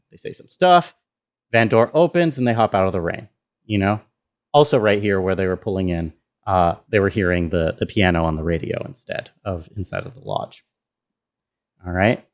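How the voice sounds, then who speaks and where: Male sound designer, lower-pitched voice, presenting behind the scenes in an informal, thinking-aloud way. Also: dull audio. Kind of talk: casual walkthrough of own work.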